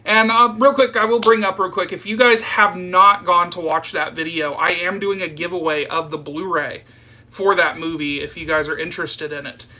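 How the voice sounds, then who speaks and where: Guy in his early 30s doing a rambly live stream chat, kinda high bright voice. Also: fairly even intonation, moderate pace. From southern United States. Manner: informal, rambling, addressing viewers as "you guys". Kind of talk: casual live chat, reading and answering viewer comments.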